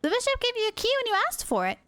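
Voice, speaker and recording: Squeaky, excitable little fairy companion. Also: female voice, racing, exaggerated voice. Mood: curious. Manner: squeaky, exclamatory, refers to self in third person. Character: small excitable female fairy companion, chatty, alarmed, curious. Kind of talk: video game dialogue read aloud.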